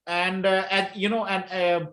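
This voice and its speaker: A man's voice: high voice, flat delivery, unhurried, fairly bright tone. A man with an Indian accent, a language teacher whose first language is Hindi, talking through a casual discussion with many fillers and restarts. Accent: Indian English.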